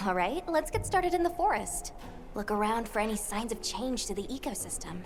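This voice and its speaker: A woman's voice: fairly high pitch, big pitch swings, a little fast, faint music behind. A woman playing an eager young field researcher in a video game, explaining things in a chatty way.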